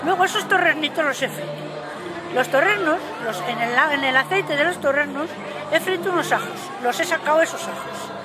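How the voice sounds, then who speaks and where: A woman's voice: high-pitched, lively intonation, a little fast, noisy background. An older woman explaining things casually in an outdoor interview, repeating phrases for emphasis. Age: 60s or 70s. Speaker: cooking contest entrant. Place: outdoor town square. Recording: outdoor camera sound with loud crowd.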